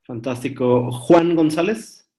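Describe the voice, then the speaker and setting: A man leading an online workshop on a video call, briskly calling on each participant in turn. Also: on the high side, mellow. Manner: brisk, calls on participants one by one. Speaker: workshop instructor.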